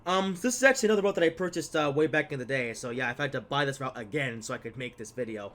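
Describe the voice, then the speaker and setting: A man's voice: medium pitch, lively intonation, fast talker, fairly bright tone. Rambling young man narrating gameplay. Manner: rambling, many fillers and trailing asides. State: slightly stuffed up from a cold. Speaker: gaming video host. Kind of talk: casual gameplay commentary.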